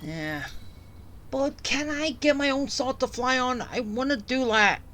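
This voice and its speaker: A woman giving casual, halting gameplay commentary, reacting as she plays. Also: sits high, lively intonation, a bit bright. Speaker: game streamer. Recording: game music under the voice.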